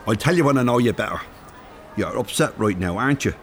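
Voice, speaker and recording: An actor playing a blunt, teasing older Irish rogue in an audio drama, colloquial and cheeky. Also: male voice, lowish voice, lively intonation, fast, slight background noise, natural voice. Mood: coaxing.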